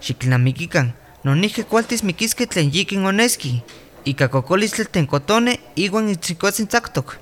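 A man playing a calm village doctor in a radio drama, explaining health advice in a steady, reassuring way. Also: high voice, normal speed. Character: calm male village doctor, patient and instructive.

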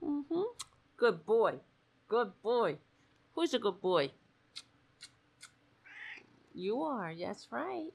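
A woman hosting a casual political talk show, rambling and sarcastic, hoarse because she is losing her voice. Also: on the high side, sing-song, drawn-out words, pauses often, darkish tone.

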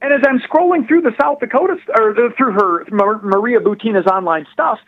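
A high-pitched male voice comes through a dull, narrow telephone line. He is a guest on a talk show, telling his story conversationally and explaining details with animated, precise emphasis. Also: brisk. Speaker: political blogger, phone-in guest.

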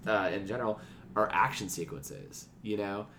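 A man's voice: on the low side, moderate pace, sharp tone, a little hiss or hum. A man co-hosting a podcast in informal, slangy chat with some swearing. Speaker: podcast co-host. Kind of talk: casual chat.

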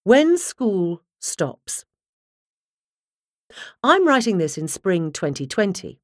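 A woman reading a nonfiction audiobook in a measured, articulate way, close to the microphone. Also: lowish voice, no room sound. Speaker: audiobook narrator. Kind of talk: scripted nonfiction audiobook narration.

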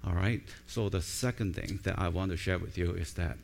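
A man's voice: low-pitched, fairly quick, vocal fry. A middle-aged man from Hong Kong, a native Cantonese speaker with a Cantonese accent, preaching a conversational sermon as a pastor and informally checking in with his listeners. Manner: informal, with frequent check-in tags like "right?" and "okay?".

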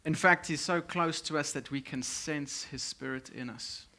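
Slow man preaching in short phrases. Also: on the high side, pushed and bright. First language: Afrikaans. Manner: speaks in short phrases, pausing for translation. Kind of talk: sermon with live interpreter.

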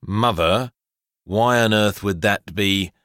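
A man's voice: on the low side, slow, a bit bright, no echo. Guy doing a snooty super serious 12 yr old boy, stiff and formal, cold, storybook style, dry sound. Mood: cold contempt. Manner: formal, stiff, disdainful delivery. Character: pompous, deadly serious twelve-year-old boy, cold and humourless.

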